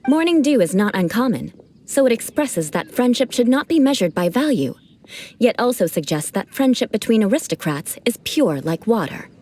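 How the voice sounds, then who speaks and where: A woman's voice: fairly high pitch, big pitch swings, moderate pace, darkish tone. An actress voicing a proud young aristocratic woman who speaks in formal, lofty, precise language, in a video game. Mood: instructive. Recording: game audio with music.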